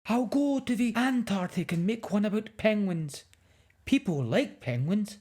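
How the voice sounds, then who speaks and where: A woman's voice: medium pitch, lively intonation, a little slow, a little mellow, pitched above the performer's own voice. A woman voicing a furious, blustering man who scolds indignantly in a children's storybook. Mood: scolding. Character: furious, indignant grown man, wildlife television presenter.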